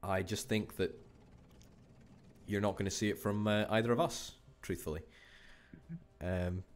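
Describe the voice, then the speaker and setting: A man's voice: fairly low pitch, fairly even intonation, measured pace. A man hosting a gaming video, chatting casually with wry, self-deprecating remarks. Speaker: gaming channel host. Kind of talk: casual let's-play commentary.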